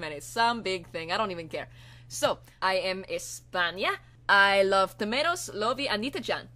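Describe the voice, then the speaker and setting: A woman's voice: medium pitch, bright, forceful tone, natural voice. A teenage girl acts out a breezy young Spanish man from an anime, with a put-on Spanish accent. The character is cheerful and scatterbrained, rambling playfully as he addresses his listeners. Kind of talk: improvised anime character monologue.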